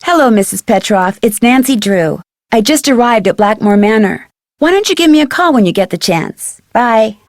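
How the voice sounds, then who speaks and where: A woman's voice: on the high side, animated, normal speed, no echo. A woman playing a polite, curious young female detective in a game. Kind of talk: game character dialogue.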